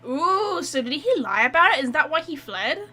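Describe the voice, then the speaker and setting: A woman doing casual, reactive gameplay commentary as a streamer, blunt and excitable. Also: high voice, normal speed. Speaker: game streamer.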